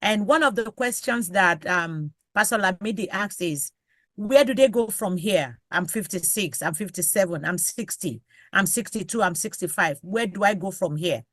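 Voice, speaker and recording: A woman's voice: mid-range voice, animated, normal speed, a bit bright, dry recording, studio quality. A woman, a pastor, advising on a panel talk show, listing examples one by one and urging listeners directly. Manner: lists examples in sequence, urges the listener directly.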